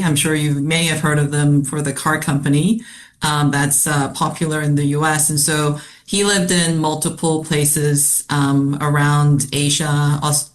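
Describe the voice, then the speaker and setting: A woman from South Korea, a company CFO, answering interview questions in a measured way with frequent ums and uhs. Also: sits low. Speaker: CFO guest. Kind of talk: podcast interview.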